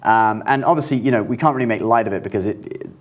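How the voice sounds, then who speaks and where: A man's voice: on the low side, quick pace. A man co-hosting a news discussion show in an informal, conversational and explanatory way. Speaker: show co-host.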